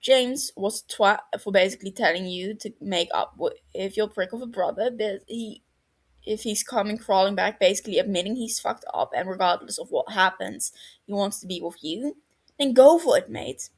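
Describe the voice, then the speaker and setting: A woman's voice: medium pitch, moderate pace, close to an everyday voice. Brief: a woman reading a crude, loyal young bloke in a storybook reading, laid-back and blunt, swears offhandedly. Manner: laid-back, swears casually, calls friend mate.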